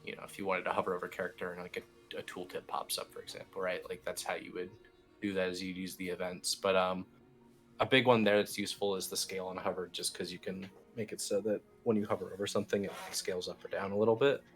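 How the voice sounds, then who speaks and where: Low-voiced man teaching, music behind. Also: flat delivery, fast talker. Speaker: instructor, team lead. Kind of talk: casual software tutorial walkthrough. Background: background music.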